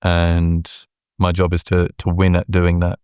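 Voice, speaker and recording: A man with a deep voice answering interview questions as a guest, measured and deliberate, often checking in with "right?". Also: monotone, darkish tone, smooth, dry recording, studio quality. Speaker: venture capital partner, guest.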